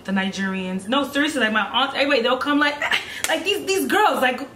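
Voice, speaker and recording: A young woman from Brooklyn, a guest chatting in an animated, joking way. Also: high-pitched, quick pace, slight background noise. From Brooklyn, New York. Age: young adult. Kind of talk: casual chat. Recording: echoey room, distant mic.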